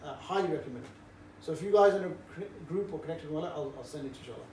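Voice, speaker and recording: A middle-aged man with a fairly high voice, talking a group through a casual, cheeky briefing. He was recorded from across an echoey room, with faint noise underneath. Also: racing. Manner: cheeky, relaxed, jovial banter. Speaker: street preaching trainer. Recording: roomy echo, distant mic, faint background noise.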